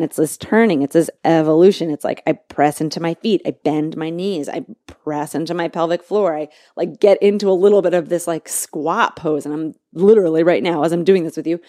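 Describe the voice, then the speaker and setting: A podcast host thinks aloud in a low, mellow woman's voice. She gropes for words with fillers and restarts, then slows right down to lean on single phrases. The sound is close and dry, with no room around it. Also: no room sound. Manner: halting, searching, many restarts and fillers; slow, drawn-out emphasis on key words. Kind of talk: reflective spoken monologue.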